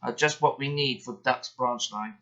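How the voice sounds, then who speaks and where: Cheery, authoritative railway boss put on by a man; bright and lively, lo-fi sound. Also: medium pitch, moderate pace.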